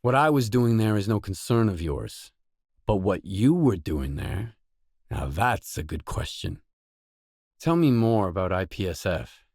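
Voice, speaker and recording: An actor playing a guarded, dry, tough stalker mercenary in a video game, with terse, blunt delivery. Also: male voice, on the low side, expressive, a little slow, a little mellow, dry recording. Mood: wary, probing. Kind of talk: video game voice acting, isolated dialogue lines.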